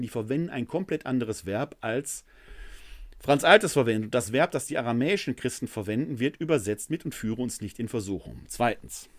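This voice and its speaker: A middle-aged man giving a spoken theology lecture, arguing emphatically and counting off his points one by one. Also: mid-range voice.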